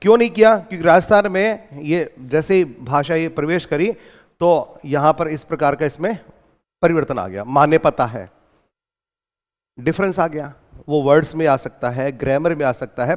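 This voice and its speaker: A man with an Indian accent giving an exam-coaching lecture, reading English lines slowly and explaining them in Hindi with teasing asides. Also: fairly high pitch, in a large hall.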